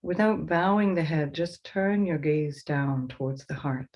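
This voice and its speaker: A woman leading a guided meditation as a teacher, giving slow, soothing instructions with pauses. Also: low-pitched, highs cut off.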